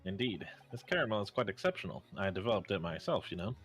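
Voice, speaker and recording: A man voicing an eccentric, self-satisfied gentleman detective in a game fan dub, speaking in a grand, wordy, formal way. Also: on the low side, big pitch swings, fast. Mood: proudly explaining.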